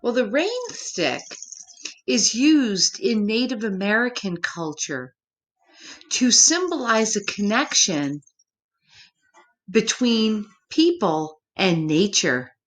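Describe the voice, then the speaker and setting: A woman storyteller reading a picture book to children, speaking simply and expressively, with gentle questioning asides to young listeners. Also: mid-range voice, animated, slow pace, low-quality recording.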